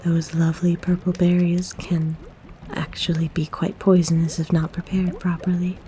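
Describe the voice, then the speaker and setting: A woman playing a kind, flirtatious forest witch in a soft, breathy, gently coaxing ASMR roleplay. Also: lowish voice, little pitch movement, normal speed, natural voice. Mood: reassuring.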